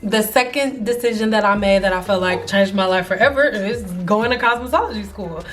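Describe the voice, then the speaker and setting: A woman in her early thirties telling life stories casually to camera, animated and slangy, acting out the people she quotes. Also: mid-range voice, a little fast, faint music behind. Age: 30s. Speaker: hairstylist vlogger.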